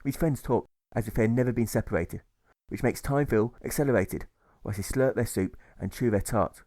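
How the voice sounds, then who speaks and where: A man's voice: mid-range voice, a little fast, warm tone, no room sound. Dry-sounding man narrating rhyming prose. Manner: rhythmic, rhyme-stressed delivery. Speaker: author reading his own novel. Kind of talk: rhyming scripted audiobook narration.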